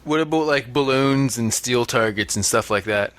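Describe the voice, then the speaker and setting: A man's voice: mid-range voice, normal speed, fairly bright tone. A man co-hosting a podcast, chatting casually with guests. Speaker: podcast co-host. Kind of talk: casual chat.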